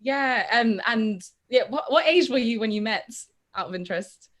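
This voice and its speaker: A woman's voice: fairly high pitch, expressive, moderate pace, a bit bright. A woman co-hosting an online discussion, asking informal questions in a tentative, conversational way. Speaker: co-host, interviewer.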